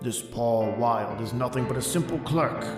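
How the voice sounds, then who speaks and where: A man's voice: medium pitch, normal speed, natural voice. Man acting as a pompous old father, very echoey. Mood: stern, disapproving. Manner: pompous, lecturing. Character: stern, possessive, protective old father, snobbish. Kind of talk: old-time radio horror drama acting. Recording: echoey reverberant hall, loud music behind.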